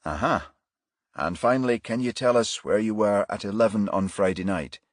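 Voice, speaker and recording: A man voicing a composed, courteous male detective inspector in a crime audiobook, measured and businesslike. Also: fairly low pitch, dry recording, studio quality, voice pushed up, lightly acted.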